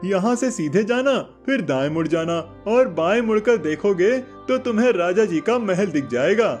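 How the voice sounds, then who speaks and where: A talkative townsman in a cartoon folk story, performed by a man. His voice is high for a male, informal and helpfully explanatory, with light music behind it. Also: expressive, moderate pace, darkish tone. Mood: chatty. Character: chatty local townsman giving news and directions.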